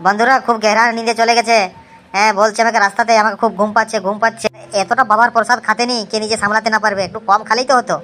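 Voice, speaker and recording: A man's voice: high-pitched, flat delivery, quick pace, bright, forceful tone. A young male cartoon pilgrim, voiced by a man, weary but devout and chatty. He rattles along, circling back over his words. Music plays faintly behind him. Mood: tired, determined. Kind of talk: amateur cartoon dialogue, vlog-like.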